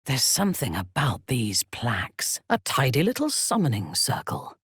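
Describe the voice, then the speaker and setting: A woman playing an imperious, ruthless female warrior cleric in a fantasy video game, with haughty, deliberate, theatrical delivery and a husky, breathy voice. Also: a bass voice, wildly swooping pitch, dry recording, studio quality, heavily acted. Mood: haughty, dry. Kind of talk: dramatic fantasy game voice lines.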